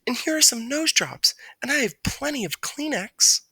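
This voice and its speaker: A woman's voice: high-pitched, animated, normal speed, pushed and bright, slightly rough, dry recording, pitched above the performer's own voice, heavily acted. A woman voicing a tiny, polite male mouse boy who talks in an earnest, eager way for a storybook reading. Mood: concerned.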